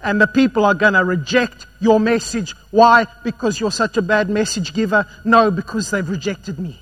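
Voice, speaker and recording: Bright, high-voiced male preacher. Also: moderate pace. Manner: animated, swinging from loud emphatic to quiet and low. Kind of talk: sermon.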